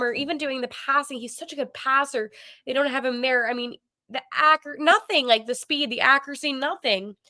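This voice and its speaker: Stuffy nasal woman with a cold doing her sports podcast, kinda high and bright, lots of ums. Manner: chatty, opinionated, with frequent ums and likes. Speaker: podcast host.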